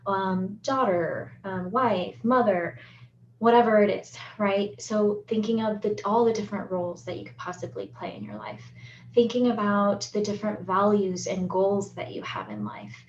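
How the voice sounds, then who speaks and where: A woman's voice: mid-range voice, big pitch swings, moderate pace, slight background noise. A woman psychologist from the United States explaining self-reflection exercises, often checking in with "right?" as she goes. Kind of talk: educational talk on relationships. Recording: roomy, slightly dull recording.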